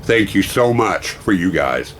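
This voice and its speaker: A hoarse, slightly raspy middle-aged man talks at length in a low voice, rambling and effusive as he recounts and thanks people. He sounds a bit worn out, and there is a faint hum of room ambience around him. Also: big pitch swings, measured pace, a bit bright. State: hoarse, losing his voice. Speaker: vlogger. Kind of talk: casual vlog talk.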